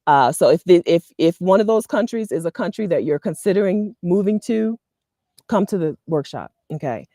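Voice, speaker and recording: A woman in her thirties from the US East Coast, talking casually to camera with advice, chatty and animated, often laughing and checking in with "okay?". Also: fairly low pitch, normal speed, no echo, studio quality. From Delaware, US East Coast. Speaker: vlogger and expat community host. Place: outdoors in front of a leafy green wall.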